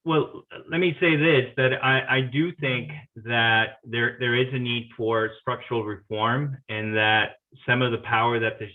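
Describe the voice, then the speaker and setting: A man's voice: medium pitch, fairly even intonation, measured pace, hardly any pauses. A man from Guatemala answering as a party officer candidate at a forum, firm and determined, with frequent fillers. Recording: tinny phone-like video call line.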